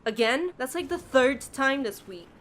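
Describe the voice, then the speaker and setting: A woman's voice: high-pitched, animated, normal speed, very noisy. A woman acting a young vigilante superheroine in an audio drama, sarcastic, casual and idealistic. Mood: sarcastic, exasperated.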